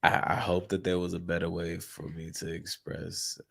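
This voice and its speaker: Deep gravelly congested man. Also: completely flat delivery, moderate pace, fairly bright tone. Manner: laid-back, candid, swears casually. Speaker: podcast co-host. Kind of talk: casual podcast chat.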